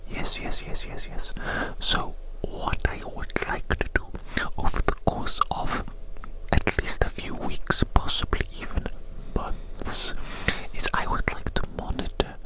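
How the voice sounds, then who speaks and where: ASMR examiner role: fully whispered, breathy, soothing and deliberate delivery with gently repeated phrases. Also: poor audio. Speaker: ASMR performer playing an examiner taking measurements.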